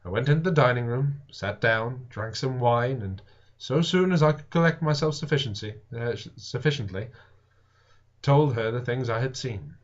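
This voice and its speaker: A man narrates a literary story in a theatrical, deliberate way, shaping phrases expressively. His voice sits at a medium pitch. The recording is dull and lo-fi, like a homemade audiobook. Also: animated. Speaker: audiobook reader. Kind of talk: dramatic literary narration of a Victorian science fiction novel.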